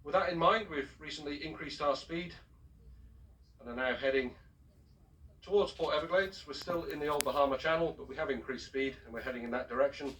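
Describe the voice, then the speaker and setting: A man speaking as a ship's captain, giving a formal, measured and courteous announcement over a public address system. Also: a little high, fairly bright tone. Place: aboard a cruise ship, heard over the public address system. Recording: distant shipboard PA speaker, picked up from afar.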